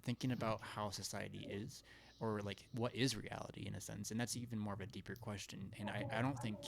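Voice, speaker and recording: Give a man with a low, gravelly voice hosting a casual livestream. He talks in a hesitant, rambling way, thinking out loud, with a crowd of other voices audible behind him. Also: steady tone, a little fast. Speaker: live stream host. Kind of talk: casual chat.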